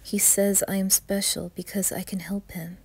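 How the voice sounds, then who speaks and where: A woman narrating a first-person horror story with dramatic, anguished intensity and a breathy delivery. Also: medium pitch, little pitch movement, moderate pace, darkish tone.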